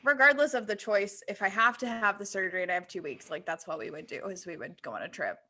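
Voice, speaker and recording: A woman's voice: medium pitch, quick pace, pushed and bright. A woman chatting casually in an easygoing way, peppering her talk with "like". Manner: easygoing, frequent "like". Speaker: podcast host. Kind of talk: casual chat.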